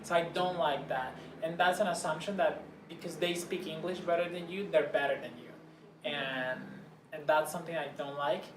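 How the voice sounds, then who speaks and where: A man's voice: on the high side, fairly even intonation, normal speed. A man from Puerto Rico with a Puerto Rican Spanish accent and Spanish as his first language, giving thoughtful answers in a casual interview and sometimes searching for English words. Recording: roomy, distant mic, background noise.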